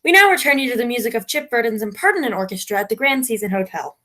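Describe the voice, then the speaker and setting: A woman's voice: a little high, very animated, fast talker, pushed and bright. A woman playing an exasperated, melodramatic announcer in a spoof old-time radio broadcast. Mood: solemn, apologetic.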